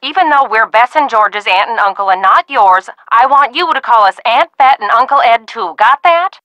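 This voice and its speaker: A fussing, motherly ranch aunt from a video game, talking in a bright, fairly high voice. She is chatty and folksy, scolds fondly, and her pitch swings freely in a dry, close recording. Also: animated, normal speed, dry recording. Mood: brisk, friendly. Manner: folksy, talkative, fond scolding. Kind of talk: video game voice acting, phone conversation.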